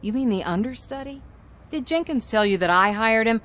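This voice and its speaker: A woman's voice: a little high, animated, fairly quick, a bit bright, a little hiss or hum. An actress playing a scheming, manipulative woman in charge of a commercial shoot in a video game, sly and assertive. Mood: defensive, irritated. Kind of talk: video game cutscene acting.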